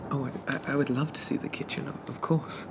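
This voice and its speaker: A man playing a shy, polite young zombie from a bygone era in a soft-spoken ASMR roleplay, stammering and apologizing in old-fashioned phrases. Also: medium pitch, flat delivery, fast talker, background noise, lightly acted. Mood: curious, awed.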